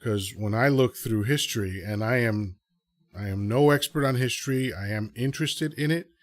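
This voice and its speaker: A man's voice: mid-range voice, moderate pace, no echo. A man from the Dominican Republic hosting a live podcast, talking through his thoughts in a rambling, earnest, self-correcting way. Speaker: podcast host. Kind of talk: casual solo commentary to a live audience.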